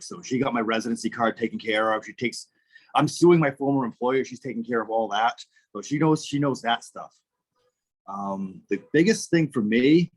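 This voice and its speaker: A man's voice: mid-range voice, breathy edge, low-quality recording. A man with a South Shore Massachusetts accent, telling stories as a podcast guest and acting out the voices of people he quotes. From southern Massachusetts, USA. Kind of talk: casual storytelling interview.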